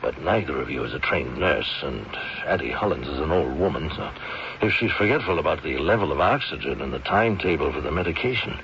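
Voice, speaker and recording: An actor playing a grave, insistent middle-aged family doctor, weary and devoted, with a gravelly voice, in 1970s radio drama style. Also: male voice, a bass voice, expressive, a little fast, a bit bright, heavily acted. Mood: firm, insistent. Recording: dull old radio recording, faint noise.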